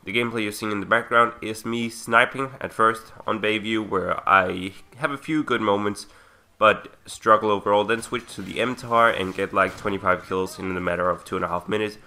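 A man's voice: lowish voice, steady tone, brisk, bright, forceful tone. A young man talking casually over gameplay footage as a gaming commentator, sick with a sore throat, rambling and correcting himself as he goes. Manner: rambling, self-correcting, chatty.

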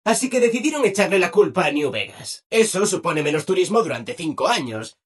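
An actor with a Castilian Spanish accent playing a relentlessly cheerful, servile robot assistant in a video game, over-eager and ingratiating. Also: male voice, high voice, big pitch swings, a little fast, slightly rough, exaggerated voice. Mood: upbeat explaining. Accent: Castilian Spanish from Spain. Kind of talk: video game character dialogue.

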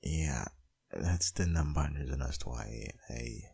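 Man acting very deep, husky, possessive boyfriend. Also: expressive, mellow, exaggerated voice. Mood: fond, nostalgic. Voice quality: gravelly, breathy, husky, often half-whispered. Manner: slow, murmuring, lingering. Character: tender, possessive dominant boyfriend, doting on his partner. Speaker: ASMR roleplay performer. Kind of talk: intimate boyfriend ASMR roleplay.

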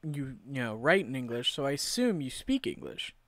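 A man's voice: medium pitch, very animated, moderate pace, gravelly, fairly bright tone, no room sound. A young man streaming a game at home on a headset, joking around, swearing and laughing with a friend. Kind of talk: casual gaming stream banter.

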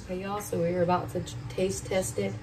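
A woman's voice: lowish voice, little pitch movement, brisk. A woman, chatting viewers through a cooking tutorial step by step. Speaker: cooking vlogger. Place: hotel room. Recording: distant mic with clear room noise.

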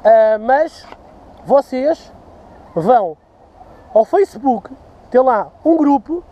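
A man's voice: extremely high pitch, animated, slow, highs cut off. A man in his thirties with a European Portuguese accent, vlogging outdoors on a dirt path, talking loosely to a handheld camera while walking and drinking a beer, swearing freely. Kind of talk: casual vlog to camera. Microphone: handheld camera at arm's length.

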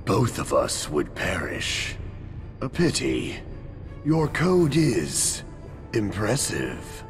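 An actor playing a cold, haughty machine villain in a game cutscene, measured and contemptuous, with a deep gravelly voice. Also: male voice, wildly swooping pitch, over music, heavily acted. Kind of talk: video game cutscene acting.

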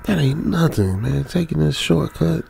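A man's voice: on the high side, lively intonation, moderate pace, gravelly, a little mellow, a little hiss or hum. An American man playing a stranded young trucker in a radio drama, uneasily muttering to himself in colloquial, repetitive phrases. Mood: frustrated, resentful. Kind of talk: old-school radio drama monologue, improvised feel.

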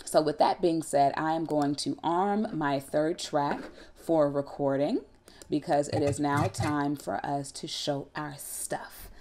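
A woman in her thirties giving a casual, conversational tutorial with colloquial, animated delivery into a close desktop mic. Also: sits low, slow pace, slight background noise. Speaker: radio personality giving a production tutorial. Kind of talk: casual instructional talk. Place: small room at home, indoors. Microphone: desktop USB condenser mic, close.

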